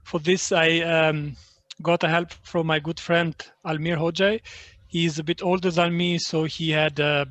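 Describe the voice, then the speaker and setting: A man from Albania whose first language is Albanian, speaking as a book translator in an online talk, halting with many fillers and restarts. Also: fairly high pitch, level tone, fairly bright tone, no room sound.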